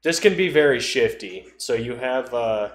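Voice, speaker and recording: A man's voice: mid-range voice, lively intonation, moderate pace. A man chatting casually on a podcast about tabletop role-playing. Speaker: podcast co-speaker. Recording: roomy echo with faint background noise.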